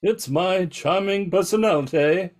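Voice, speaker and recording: An older man playing a gruff, pompous, wealthy industrialist in an amateur radio mystery. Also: high voice, measured pace. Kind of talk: amateur old-time radio mystery acting.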